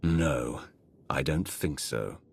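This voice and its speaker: An actor playing a commanding Sith lord in a video game, gravelly, terse and authoritative, a stern but supportive superior. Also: male voice, extremely low pitch, slow, exaggerated voice. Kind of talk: dramatic video game cutscene acting.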